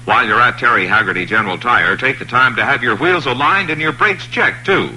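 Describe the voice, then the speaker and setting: A man reading a scripted radio commercial in a smooth, persuasive announcer manner. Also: medium pitch, animated, brisk, bright, forceful tone, poor audio. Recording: old radio broadcast with hum.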